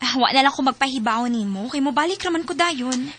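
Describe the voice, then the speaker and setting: A woman's voice: high voice, expressive, a little fast, a bit bright. An actress playing a restless, discontented young girlfriend in a radio drama, with a whiny, emotional delivery. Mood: evasive, casual. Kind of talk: radio drama acting in Cebuano.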